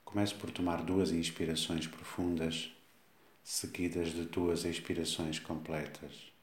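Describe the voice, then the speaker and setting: A man with a European Portuguese accent guides a body scan meditation, giving slow, calm instructions with long pauses. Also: low voice. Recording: echoey, reverberant room sound.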